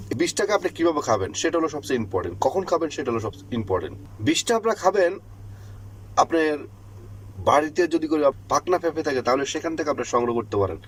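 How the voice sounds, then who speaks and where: A man with a Bangladeshi accent speaks directly to listeners as an advice host. His pitch swings widely as he explains and repeats points with emphasis. A light layer of background noise sits under the voice. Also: low-quality recording. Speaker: health advice channel host. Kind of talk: informal instructional monologue to listeners.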